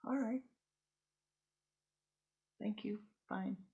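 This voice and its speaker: A woman voicing a calm male village monk who answers accusations mildly. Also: mid-range voice, very slow, vocal fry, lo-fi sound, pitched above the performer's own voice. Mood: unruffled. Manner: calm, mild, unruffled.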